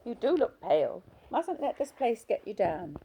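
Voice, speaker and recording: A woman voicing a chatty, gossipy nurse who talks briskly. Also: fairly high pitch, very animated, dark tone, slight background noise, pitched above the performer's own voice, low-quality recording. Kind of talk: audiobook character voice.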